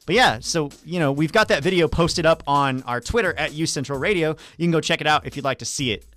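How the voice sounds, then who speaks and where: A man's voice: fairly high pitch, quick pace, a bit bright, soft background music. A man hosting a radio show in an informal, conversational style. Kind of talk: casual radio chat.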